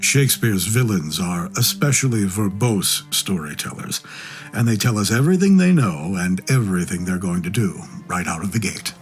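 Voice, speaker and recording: A man narrates from a script like a stage storyteller. His low voice moves with lively, expressive intonation. Music plays clearly behind him. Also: normal speed. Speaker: storyteller introducing scenes.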